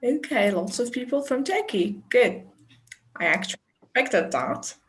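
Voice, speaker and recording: A woman leads an online teacher-training session, speaking slowly in a somewhat high voice. She chats easily, dropping in little laughs and fillers as she talks to participants over a video call. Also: lively intonation, slight background noise. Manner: chatty, unhurried, with light laughs and fillers. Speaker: webinar presenter. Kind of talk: friendly online teacher talk.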